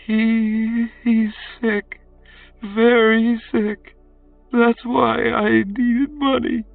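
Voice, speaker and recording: Man voicing a high, halting, frightened beggar woman. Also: little pitch movement, gravelly, no room sound, recorded from a distance, narrow, tinny audio, faint music behind, exaggerated voice. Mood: frightened, pleading. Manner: halting, stammering. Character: frightened young beggar woman, timid, pleading wife.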